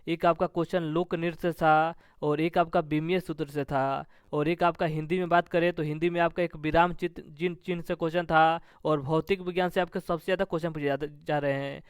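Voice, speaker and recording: A man's voice: fairly high pitch, clear, resonant tone, no echo, clean studio sound. A man teaching exam review in a repetitive, formulaic question-and-answer pattern.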